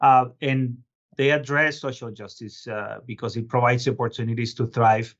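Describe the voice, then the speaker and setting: A middle-aged man from Colombia, a guest urban planner giving measured explanatory answers with frequent filler sounds. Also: medium pitch, fairly even intonation, highs cut off. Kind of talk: podcast interview answers.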